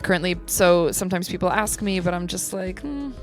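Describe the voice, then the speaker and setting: A woman's voice: on the low side, animated, fairly quick, soft background music. A young American woman, a singer-songwriter, chatting thoughtfully and agreeably as an interview guest. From United States. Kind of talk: casual interview chat.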